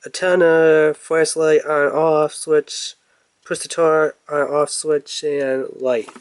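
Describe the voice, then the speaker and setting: A man's voice: fairly high pitch, level tone, takes it slowly, clean tone. A man giving casual, off-the-cuff commentary as he reviews a toy in an unboxing video. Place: indoors at a table.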